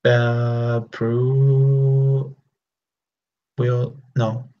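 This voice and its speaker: Halting man on a dull lo-fi call line. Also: medium pitch, little pitch movement. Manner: halting, searching for words with many fillers. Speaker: learner on a practice call. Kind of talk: casual chat over video call. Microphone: video call. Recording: dull lo-fi video call audio.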